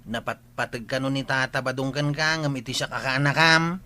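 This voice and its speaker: A man's voice: medium pitch, fairly even intonation, normal speed, pushed and bright, close to an everyday voice. A man with an Ilocano accent acting a cheeky, needling young villager in a comedy radio drama, teasing as he talks.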